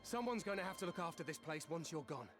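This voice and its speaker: An excitable, earnest fantasy game townsperson, acted by a man, with a high voice and lively swings in pitch. He talks informally and eagerly over clear music. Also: fast talker, close to an everyday voice. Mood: excited, earnest. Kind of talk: animated video game dialogue.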